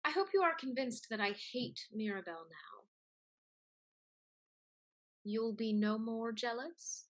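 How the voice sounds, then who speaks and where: An actress playing a cool, calculating mistress in a Restoration high-society comedy, speaking crisply and persuasively. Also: female voice, expressive, a little slow, long pauses. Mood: cool, conspiratorial. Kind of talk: theatrical Restoration comedy acting.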